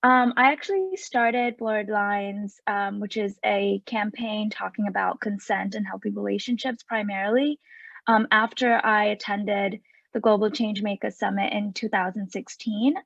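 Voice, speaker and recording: Twenties woman from Sri Lanka, earnest activist guest, raspy edge, dull dry audio. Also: mid-range voice, steady tone, moderate pace, a bit bright, no room sound. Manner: earnest, fluent with fillers. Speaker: podcast guest, consent activist. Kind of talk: casual interview talk.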